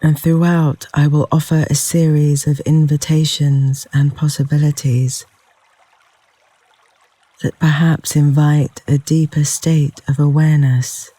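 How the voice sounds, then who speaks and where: A man leading a guided yoga nidra meditation in a gentle, soothing, unhurried manner with long pauses between phrases. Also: on the high side, level tone, no echo. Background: flowing river water sounds.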